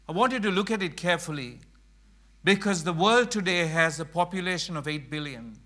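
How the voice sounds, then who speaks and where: A middle-aged man from Sri Lanka speaks to a church gathering in an earnest, emphatic way. His voice sits high and sounds bright and forceful. He slows down and leans on key phrases. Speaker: mission speaker. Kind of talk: church presentation.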